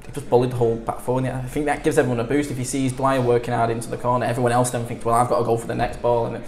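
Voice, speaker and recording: A man's voice: medium pitch, monotone, racing, darkish tone, reverberant, a little hiss or hum. A man, a team captain talking plainly in a post-match interview and leaning on "you know" and "I think". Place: small indoor club room.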